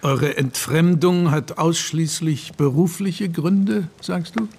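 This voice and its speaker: An actor playing a thoughtful, inquiring gentleman in a radio play, measured and courteous. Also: a little high, gravelly. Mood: probing. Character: thoughtful, inquiring gentleman telling a strange tale. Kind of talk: radio drama acting. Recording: faint noise and ambience behind.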